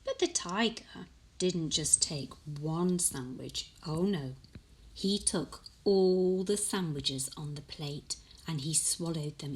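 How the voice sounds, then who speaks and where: Woman, children's story reading, unhurried, slightly low-pitched, expressive, questioning asides to young listeners. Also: slight background noise, poor audio. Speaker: teacher reading a picture book aloud.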